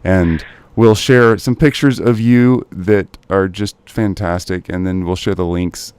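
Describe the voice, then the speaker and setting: A man's voice: low-pitched, a little slow, a little mellow, clean tone, no room sound. A man hosting an interview show, speaking in an upbeat, encouraging way with a lot of fillers. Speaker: podcast host. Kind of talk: casual interview.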